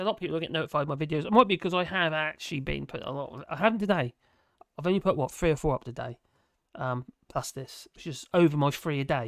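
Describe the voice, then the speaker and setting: A hoarse live streamer, rambling in casual chat to viewers and making fun of themselves. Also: mid-range voice, no echo, clean studio sound. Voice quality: rambling, self-deprecating.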